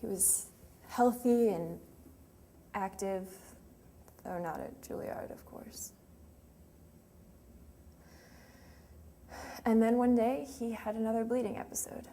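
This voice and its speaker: A woman's voice: fairly high pitch, steady tone, unhurried, dark tone. An actress playing a grieving young woman remembering her lost sweetheart in a hesitant, emotional, confessional monologue. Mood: subdued, sad. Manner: hesitant, emotional, with trailing phrases. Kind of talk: dramatic monologue, confessional and conversational. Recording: clearly noisy room ambience.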